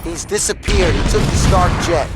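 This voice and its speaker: Male actor as loyal game sidekick, high-pitched for a man, plain-spoken, concerned, blaring music. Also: moderate pace, natural voice. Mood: matter-of-fact. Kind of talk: video game cutscene acting.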